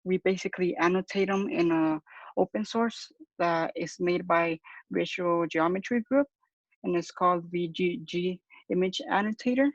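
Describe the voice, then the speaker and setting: A man's voice: high voice, no echo. A young man giving a hesitant, explanatory student presentation over a lo-fi video call. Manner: hesitant, halting. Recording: dull lo-fi call audio.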